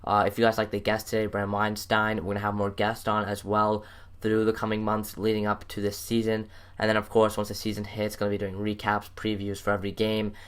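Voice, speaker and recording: American man, sports show host, lowish pitch, flat even delivery, clean smooth voice, casual interviewing. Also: brisk.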